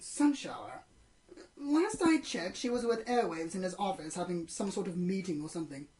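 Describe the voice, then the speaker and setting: A woman's voice: on the low side, sing-song, normal speed, mellow. A woman playing a prim, easily exasperated theatrical pony in an audio drama, speaking in formal, haughty, dramatic phrases. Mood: curt, dismissive. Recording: distant mic, noisy, humming.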